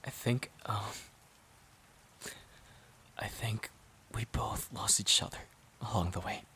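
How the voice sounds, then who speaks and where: A man's voice: fairly low pitch, slow, pushed and bright, whispered. A man playing a wounded, devoted boyfriend who pleads hesitantly and earnestly in an intimate audio drama. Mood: regretful, vulnerable. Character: wounded, devoted boyfriend desperate to save relationship.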